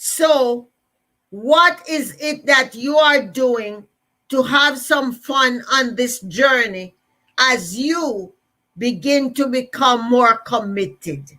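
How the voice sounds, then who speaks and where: A woman's voice: a little high, very slow, sharp tone. A woman with a Jamaican accent giving an emphatic, repetitive motivational talk to listeners. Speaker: motivational speaker.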